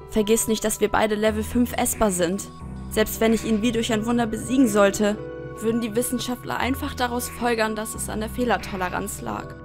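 A woman's voice: mid-range voice, lightly acted. A woman voicing an anxious, hot-tempered teenage girl in an emotional, pleading manner for an anime fan dub. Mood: grim, subdued. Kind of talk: dramatic anime dub acting. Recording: fan dub with music underneath. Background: background music.

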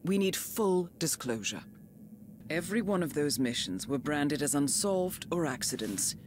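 An actress playing a composed, articulate intelligence handler, speaking precisely and formally in a scripted game briefing. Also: female voice, low voice, expressive, a little slow, background noise. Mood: grave, intent. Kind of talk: scripted mission briefing, dramatic game acting. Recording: dramatic music under the voice.

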